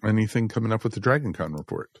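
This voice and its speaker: A man's voice: lowish voice, expressive, rapid-fire, no echo. A man hosting a podcast, chatting casually and addressing listeners in a folksy way. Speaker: podcast host.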